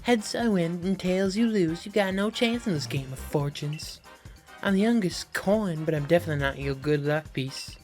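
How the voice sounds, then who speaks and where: Man putting on a high, taunting bandit woman. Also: normal speed, darkish tone, soft background music, lightly acted. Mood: gloating. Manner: taunting, theatrical. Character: gloating female bandit, one of three wicked sisters. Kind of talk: fan-made game character voice acting.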